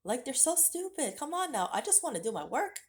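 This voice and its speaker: A woman's voice: sits high, big pitch swings, brisk. A woman, telling her life story candidly to her viewers in a casual vlog. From United States. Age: late 30s. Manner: candid and conversational, direct address to viewers. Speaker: vlogger. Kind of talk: personal storytelling to camera.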